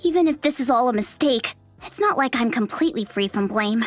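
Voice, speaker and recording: A woman's voice: a little high, animated, brisk, faint music behind, close to an everyday voice. A woman voicing a feisty, irritable young female android soldier in an anime game, brash and exasperated. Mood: exasperated, grumbling.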